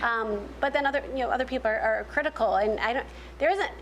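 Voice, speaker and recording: Explanatory woman panelist, higher voice. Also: quick pace, slight background noise, low-quality recording. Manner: explanatory, many restarts and fillers. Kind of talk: panel discussion answer.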